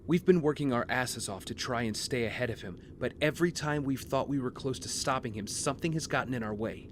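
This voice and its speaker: A man acting a brooding, guilt-ridden young vigilante hero in an audio drama, speaking in an earnest, measured, confessional way. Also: medium pitch, noisy background, lightly acted. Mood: serious, resolved. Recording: music bed under the voice.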